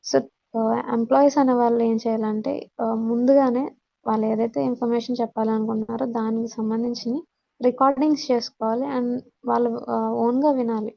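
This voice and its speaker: A woman teacher with a Telugu Indian accent explains points in a fairly high, very flat voice with a creaky edge. She mixes in English terms and pauses hesitantly. The audio is dull. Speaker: teacher giving a communication skills lesson. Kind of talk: explanatory lecture, reading out points then explaining.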